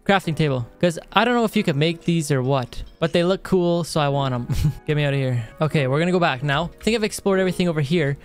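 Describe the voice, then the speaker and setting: A young man giving casual, excitable let's-play commentary in a chatty way, close on a studio microphone. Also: a little high, fast, clear, resonant tone, soft background music. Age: young adult. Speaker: gaming video host. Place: indoor room at a desk. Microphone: close dynamic studio microphone.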